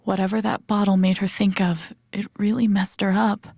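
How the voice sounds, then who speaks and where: A woman voicing a young, introspective, dry-humored game heroine, murmuring her thoughts in a husky, breathy voice. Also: medium pitch, little pitch movement, normal speed, a little mellow, no echo. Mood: tender, sad. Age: young adult. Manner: murmured, breathy thinking aloud, often near a whisper. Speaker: video game voice actress. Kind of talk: soft inner-monologue game voice acting.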